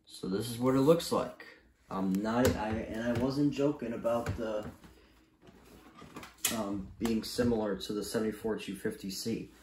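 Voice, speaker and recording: A man giving a casual, unscripted review in a halting, repetitive and emphatic way, recorded in a room at home. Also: fairly low pitch, steady tone, background noise. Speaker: vlogger reviewing gadgets. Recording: roomy, echoey home recording.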